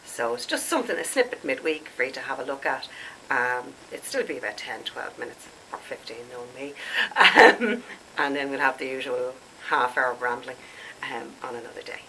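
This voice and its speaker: Woman, late forties, deep but sharp-edged tone, congested, chatty rambling vlogger. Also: expressive, normal speed, slight background noise, poor audio. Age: late 40s. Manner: chatty, rambling, laughs at herself. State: congested, stuffed up. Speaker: skincare vlogger. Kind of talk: casual chat to camera.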